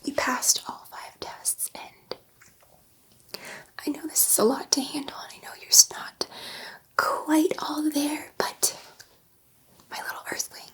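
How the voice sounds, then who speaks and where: A gentle, excitable female alien scientist, played by a woman, in a sci-fi ASMR roleplay. She whispers softly and breathily at a very unhurried pace, in a high register. Her delivery is halting and coaxing, meant to reassure a human test subject. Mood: tender, amazed.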